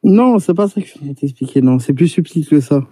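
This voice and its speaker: A man's voice: a little high, expressive, fairly quick, a crowd in the background. A man from Paris, arguing insistently in a heated casual debate and talking over the others.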